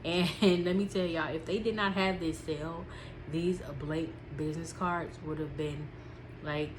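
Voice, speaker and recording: A woman with a stuffed-up, sniffly nose talks through her notes in a low, relaxed voice. She chats along casually, filling pauses with ums and circling back over her points. A steady hiss sits under the recording. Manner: chatty, with frequent "um" and repeats. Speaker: small business vlogger.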